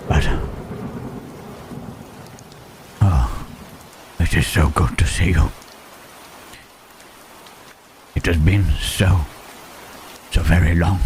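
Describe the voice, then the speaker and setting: Gentle lonely creature played by a man, very low voice, slow halting delivery, ASMR roleplay, rain and thunder behind. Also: background noise. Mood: relieved, halting. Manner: halting, hushed, careful speech with long pauses. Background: rain and thunderstorm ambience.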